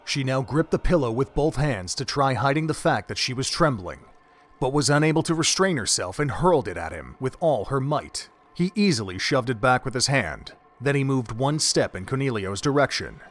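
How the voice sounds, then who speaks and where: Man narrating a story, measured. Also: mid-range voice, lively intonation.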